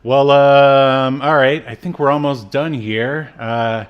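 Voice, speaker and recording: A man's voice: medium pitch, steady tone, slow pace, smooth, dull audio. A man co-hosting a podcast in casual chat, with a joking, teasing manner. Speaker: podcast co-host.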